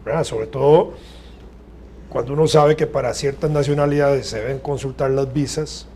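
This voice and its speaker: A man's voice: mid-range voice, fairly even intonation, moderate pace, dark tone, slight background noise. An older man, explaining points in a panel chat. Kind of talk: casual chat.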